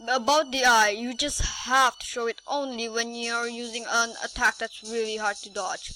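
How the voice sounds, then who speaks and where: A woman voicing a smug, laid-back skeleton explaining things deliberately in an amateur comic dub. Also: a little high, fairly even intonation, bright, forceful tone, a little hiss or hum, poor audio. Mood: smug, instructive.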